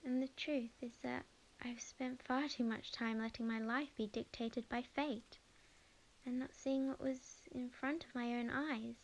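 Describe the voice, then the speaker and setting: A young witch in love, played by a woman with an Australian accent. Her voice is fairly high and softly intimate, in the manner of an ASMR romance roleplay. She speaks earnestly and tenderly, with hesitations and phrases that sink toward a whisper. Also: fairly even intonation, unhurried, rough recording. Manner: soft, hesitant, sometimes dropping to a whisper. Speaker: ASMR audio roleplay performer.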